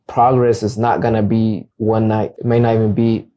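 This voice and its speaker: Young man, first language Acateco, earnest, repeats words at starts, mellow warm tone. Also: lowish voice, flat delivery, moderate pace, muffled recording. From United States. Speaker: guest realtor. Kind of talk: casual interview.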